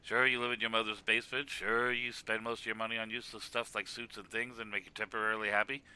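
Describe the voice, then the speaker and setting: A man's voice: lowish voice, level tone, fast talker, sharp tone, smooth. A man acting a heartfelt radio co-commentator in a web cartoon, speaking in an earnest, emphatic, speechifying way. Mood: passionate. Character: loud heartfelt radio co-commentator consoling his rival. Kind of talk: animated web cartoon dialogue.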